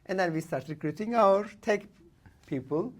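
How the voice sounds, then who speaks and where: Kurdish-native man in his late forties. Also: sits high. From Turkey. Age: late 40s. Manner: talks in short bursts with frequent "uh" pauses and restarts. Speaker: guest. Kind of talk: casual interview. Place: podcast studio.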